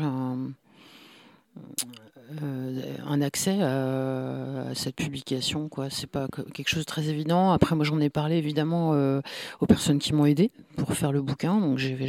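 A man's voice: a little high, a little mellow, no room sound. Hesitant man, dry studio sound. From France. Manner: hesitant, many fillers and restarts. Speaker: comic book author, interview guest. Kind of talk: casual radio interview.

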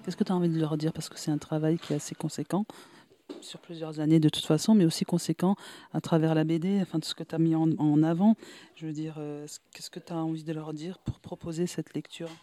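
A man's voice: on the high side, flat delivery, a little fast, warm tone. A man hosting a radio interview, asking informal, probing questions.

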